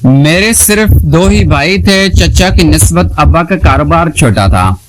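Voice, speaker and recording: A man's voice: fairly high pitch, lively intonation, measured pace. A man reading a scripted story aloud as a narrator. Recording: clearly noisy recording with clicks.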